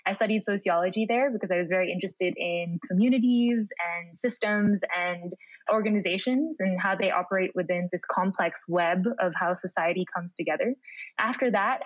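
A woman's voice: mid-range voice, no echo. An upbeat young woman talks as a podcast guest, filling her sentences with a casual "like". Her voice is dry and close, with a slightly dull, lo-fi edge from remote call audio. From Philippines. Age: young adult. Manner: upbeat, chatty, frequent "like". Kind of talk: casual interview chat.

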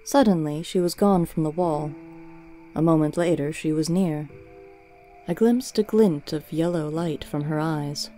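Slow, mellow woman reading a story. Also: low-pitched, soft background music. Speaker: narrator.

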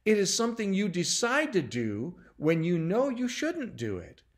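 A man voicing a calm, reassuring mother in a children's story as she patiently teaches her children. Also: high-pitched, moderate pace. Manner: patient, instructive.

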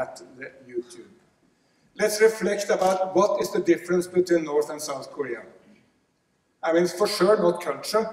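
A middle-aged man with a Norwegian accent speaks at a podium microphone, his voice sitting a touch high for a man. He talks earnestly, often restarting with fillers as he builds his point. The sound carries a long, roomy reverb, heard from some distance in a large hall. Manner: gesturing broadly, earnest, with frequent fillers and restarts. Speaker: keynote speaker. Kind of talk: conference keynote speech. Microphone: podium microphone, filmed from afar. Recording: echoey hall from far off.

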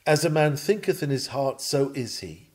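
A man reading an old Victorian sermon aloud in an earnest, measured, preacherly manner. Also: a little high, expressive, darkish tone. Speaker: sermon reader.

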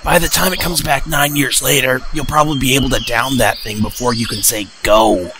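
A man's voice: mid-range voice, steady tone, a little fast, noisy background, natural voice. A man playing a sensible, supportive hunter in an amateur cartoon audio drama. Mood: reassuring. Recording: music laid under the voice.

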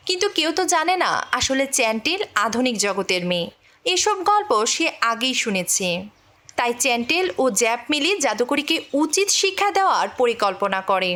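A woman's voice: on the high side, sing-song, normal speed, fairly bright tone. A woman narrating a film story as a scripted recap, telling the plot step by step.

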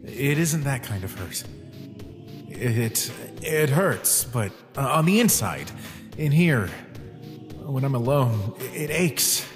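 Man as a sad skeleton boy, slow-spoken. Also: mid-range voice, expressive. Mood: lonely, hesitant. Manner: hushed, hesitant. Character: sad, weary skeleton boy, gentle and lonely. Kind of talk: animated comic dub acting. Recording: long reverb, music behind.

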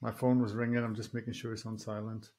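A man's voice: fairly low pitch, level tone, quick pace, dark tone. A man in his forties with a Welsh accent, hosting a podcast in friendly, rambling chat over a video call from a small room, speaking through earphones. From Wales. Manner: friendly, rambling, says "mate" often. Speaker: podcast host. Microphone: earphone headset on a video call.

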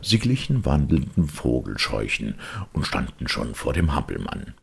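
Guy reading a kids audiobook, low n gravelly, husky, theatrical. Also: normal speed. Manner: theatrical, expressive. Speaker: audiobook narrator. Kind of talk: scripted children's story narration.

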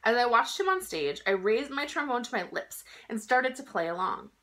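A woman in her thirties reading a children's picture book aloud in an expressive, emphatic storytelling style. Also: fairly high pitch, normal speed. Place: small indoor room at home.

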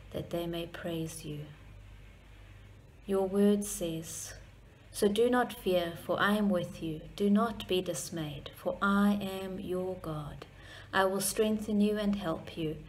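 A middle-aged woman leads a measured spoken prayer in a room at home. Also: lowish voice, a little mellow. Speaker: church member leading prayer. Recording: clearly noisy home recording.

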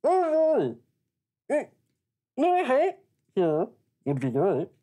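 A man's voice: very high-pitched, huge pitch swings, slow pace, mellow, voice pushed up, exaggerated voice. A man voicing a friendly, flustered talking magic book that rambles familiarly in improvised tabletop roleplay. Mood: flustered pleading.

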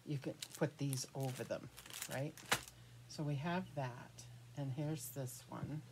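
A woman's voice: a bass voice, fairly even intonation, slow. An American woman in her fifties chatting casually through a craft show-and-tell, thinking aloud and trailing off mid-sentence. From United States. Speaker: craft vlogger. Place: small cluttered indoor craft room. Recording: clear room noise, handling clicks.

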